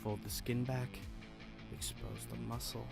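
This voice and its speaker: An obsessive, murmuring killer, music behind. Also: male voice, mid-range voice, little pitch movement, unhurried, vocal fry. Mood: concentrated, impatient. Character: obsessive male killer, studiously copying another murderer's methods, cold and irritable. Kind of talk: visual novel voice acting.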